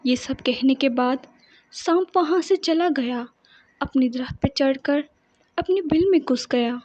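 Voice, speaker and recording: A woman's voice: sits high, unhurried, a little mellow, dry recording. A woman narrating a scripted children's story as its storyteller.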